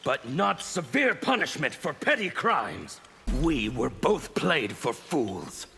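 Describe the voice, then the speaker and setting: An actor playing a bitter, menacing revolutionary of chaos in a video game, declaiming defiantly in a gravelly growl. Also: male voice, on the high side, very animated, takes it slowly, sharp tone, a little hiss or hum, exaggerated voice. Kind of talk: video game fight banter.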